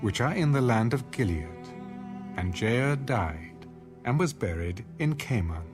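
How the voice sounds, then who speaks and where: A man reading scripted audio Bible narration in a measured, solemn manner. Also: mid-range voice, animated. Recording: music under the voice.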